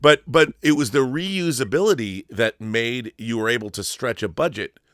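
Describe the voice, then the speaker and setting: A man's voice: medium pitch, normal speed, a bit bright, no echo, clean studio sound. A man hosting a casual interview, eager and fannish, jumping from one thought to the next. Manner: eager, fan-like, jumps between thoughts. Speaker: interview host. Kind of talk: casual chat.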